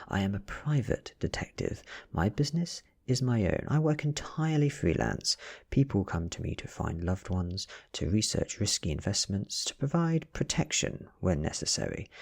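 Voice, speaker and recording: A man's voice: low voice, gravelly, slightly rough, full character voice. A British man playing a tired, nervous private detective in a story reading, businesslike and questioning.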